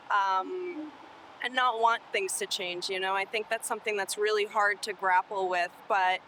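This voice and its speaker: Young woman from colombia, bright voice, earnest and hopeful, faint street noise outside. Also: mid-range voice, rough recording. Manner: earnest, hopeful, fluent with fillers. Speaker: city sustainability worker. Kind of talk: oral history interview.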